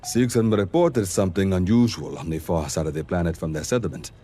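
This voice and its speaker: An actor playing a composed, formal intelligence informant in a video game, briefing in a measured, detached way. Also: male voice, deep voice, dark tone. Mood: detached, informative.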